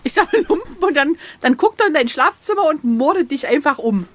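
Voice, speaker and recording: A woman with a somewhat high voice chats casually as the player in a gamebook session. She is slangy and playful, tossing out sarcastic jokes. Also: lively intonation, fairly quick, fairly bright tone, no room sound. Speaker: co-host and player.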